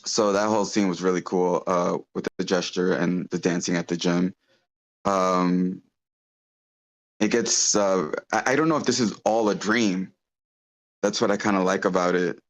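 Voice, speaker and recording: Man with a low voice, hesitant and rambling, offering informal opinions at an online table read. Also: steady tone, normal speed. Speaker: script feedback participant at an online table read. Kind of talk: casual feedback chat. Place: online meeting.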